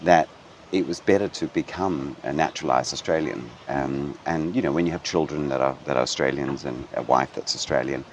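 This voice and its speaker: A middle-aged man from Denmark with a very low, deep voice talks through a relaxed interview. He is thoughtful and unhurried, full of ums and restarts, with faint background hiss. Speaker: hotel general manager. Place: hotel grounds.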